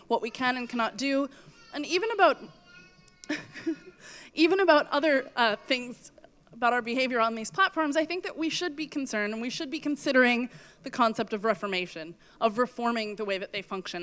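A woman giving a talk on stage with slides, earnest and measured, her voice going from coughing. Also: on the high side, fairly bright tone, clear, resonant tone. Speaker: conference speaker. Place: stage in a hall.

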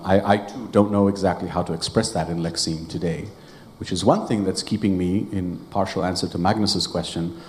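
A man whose first language is Hebrew, explaining a point in a measured, detailed way from the floor of a conference Q&A in a meeting room. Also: deep voice, darkish tone. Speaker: audience member asking a question.

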